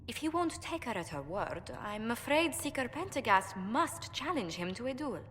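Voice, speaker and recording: A woman voicing a poised young diplomat in a fantasy video game, courteous, formal and smoothly firm. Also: fairly high pitch, very animated, normal speed, a bit bright. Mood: coolly firm. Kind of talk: video game cutscene acting. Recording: hall reverb, faint background noise.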